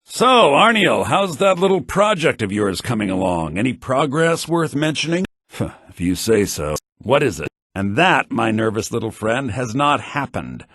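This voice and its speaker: A man's voice: mid-range voice, fairly bright tone, dry recording. An actor playing a haughty, sardonic man in a fantasy video game, talking in a dry, condescending way. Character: haughty, sardonic male associate needling a nervous colleague. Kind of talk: video game character acting.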